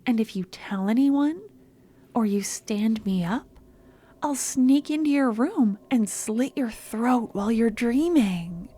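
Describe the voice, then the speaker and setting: A woman plays a young, obsessive stalker girl in an ASMR audio drama. Her voice is high, mellow and very unhurried, slipping often into breathy whispers. She sounds tender and adoring, with menace underneath. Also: animated, heavily acted. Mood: coldly threatening.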